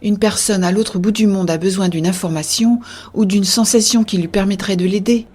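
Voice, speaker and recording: A woman playing an earnest, idealistic young-hearted believer in a scripted science-fiction audio drama, with a husky, soft delivery. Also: medium pitch, steady tone, moderate pace, natural voice. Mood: earnest, persuasive. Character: Béa, earnest idealistic woman defending a shared-consciousness network. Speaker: voice actor narrating in first person.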